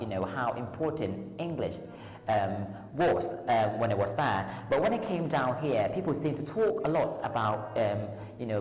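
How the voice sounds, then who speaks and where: A woman's voice: rumbling low, a little mellow. A woman from Thailand with a Thai accent, a lecturer and tutor answering interview questions in a hesitant way, with many ums and restarts. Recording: echoey hall with long reverb.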